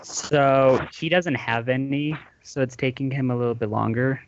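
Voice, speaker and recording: A young man organizing a gaming roleplay, explaining rules in a measured, hesitant way with many ums. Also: mid-range voice, fairly even intonation, a little hiss or hum. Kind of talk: explaining game rules in voice chat. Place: online voice call. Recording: lo-fi voice chat audio.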